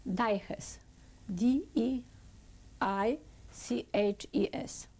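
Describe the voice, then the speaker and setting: A woman in her seventies from Poland with a Polish accent, giving halting, slow oral history testimony in non-native English. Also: a little high, a little mellow. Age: 70s. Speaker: interviewee.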